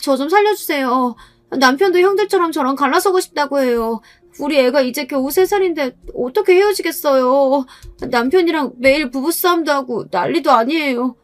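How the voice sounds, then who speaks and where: Pleading young sister-in-law for story audio, done by a female narrator; high, imploring, whiny. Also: moderate pace, close to an everyday voice. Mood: desperate pleading. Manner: whining, imploring. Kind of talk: acted dialogue in a narrated story.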